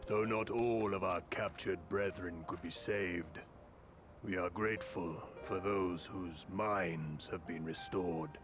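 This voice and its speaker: A dignified, grave leader from a fantasy game. His voice is very low and he takes his time, with formal, consoling phrasing over clear background music. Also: steady tone. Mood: solemn, consoling. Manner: formal, measured.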